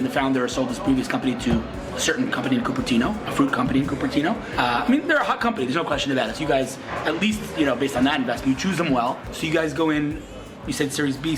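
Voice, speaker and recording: A man vlogging and interviewing a guest in a quick, chatty, enthusiastic way full of asides. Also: medium pitch. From Israel. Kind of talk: casual vlog chat and interview.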